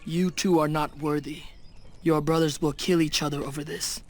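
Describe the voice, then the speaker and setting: Male game role: actor as stern, proud shaman, slightly high voice, formal and solemn, clear music bed. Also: little pitch movement, natural voice. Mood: scornful. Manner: formal, solemn, measured phrasing. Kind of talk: dramatic video game acting. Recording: music behind the voice.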